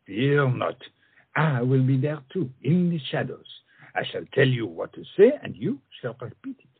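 Cyrano, a man playing a husky theatrical mentor. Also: medium pitch, sing-song, moderate pace, dry recording, narrow, tinny audio. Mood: scheming, confident. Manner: flowery, theatrical, coaxing speech. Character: Cyrano, witty theatrical French friend and mentor. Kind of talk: stage comedy acting.

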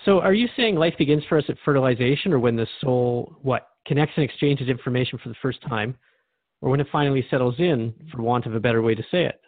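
A man acts a male radio interviewer in a drama, thoughtful and mildly skeptical. He speaks at a middling pitch in a reflective, questioning manner. The recording is dry, with a narrow telephone-like sound. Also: fast, no room sound, close to an everyday voice. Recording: narrow phone-like band, dry.

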